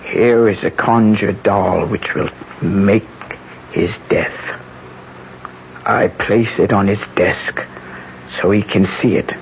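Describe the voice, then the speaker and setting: A performer playing a stern, vengeful elderly aunt in a 1970s radio drama, making solemn, ominous pronouncements in a low voice. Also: fairly even intonation, slow pace, creaky, long pauses, full character voice. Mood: grim certainty. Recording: dull, noisy 1970s radio broadcast.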